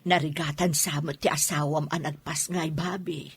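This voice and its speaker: A woman playing a fussy, doting older mother and grandmother in a radio drama, husky and expressive, with an Ilocano accent. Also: low-pitched, normal speed, a little hiss or hum.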